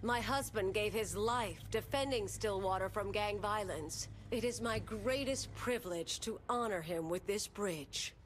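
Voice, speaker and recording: A woman's voice: medium pitch, measured pace, few pauses, a little hiss or hum, close to an everyday voice, poor audio. A woman voicing a proud widowed senator in a video game, giving a solemn, polished public speech. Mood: solemn pride. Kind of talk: formal political speech in game cutscene.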